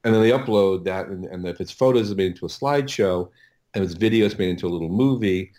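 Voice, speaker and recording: Man, very low voice, casual explainer, halting delivery with repeated words, interview guest. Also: a little mellow. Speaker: interview guest, audio producer.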